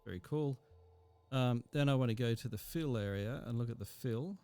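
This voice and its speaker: A man giving an informal software tutorial as a teacher, explaining each step as he goes. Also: mid-range voice, moderate pace, clear, resonant tone.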